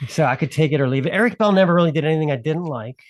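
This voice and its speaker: A man's voice: fairly high pitch, rapid-fire, dry recording. Chill podcast guy, lots of ums, dry wry jokes, dead dry mic. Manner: relaxed, filler-heavy, wry asides. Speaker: podcast co-host. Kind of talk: casual podcast chat.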